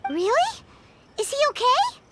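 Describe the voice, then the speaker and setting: A woman voicing a tiny, childlike fairy companion with a cute, expressive manner in a video game. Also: extremely high pitch, measured pace, heavy background noise, heavily acted. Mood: concerned. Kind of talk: cartoonish video game voice acting.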